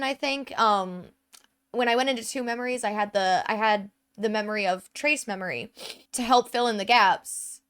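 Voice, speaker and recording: A woman's voice: mid-range voice, smooth. A woman giving casual gameplay commentary into a desk microphone at home, chatty and full of "um" and "like". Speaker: let's play gamer.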